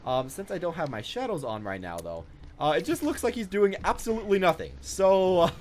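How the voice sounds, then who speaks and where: Lively, fast-talking young man with background noise. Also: high-pitched. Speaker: gaming video creator. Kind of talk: casual off-the-cuff game showcase commentary.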